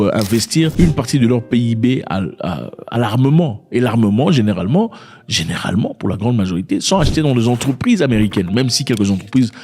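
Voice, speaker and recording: A man's voice: medium pitch, dark tone, husky. A man, not a native French speaker, casually explaining politics to viewers, often turning to ask if they follow him. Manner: repeats and restarts words, addresses viewers directly. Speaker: political commentator.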